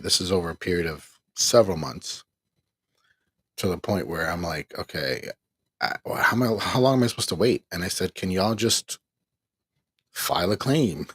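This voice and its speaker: A tired man speaks casually, rambling through a candid personal story and breaking into laughs between complaints. His voice sits fairly low with a husky grain. The sound is dry and close, with almost no room around it. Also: no echo. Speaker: online ministry host. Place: home.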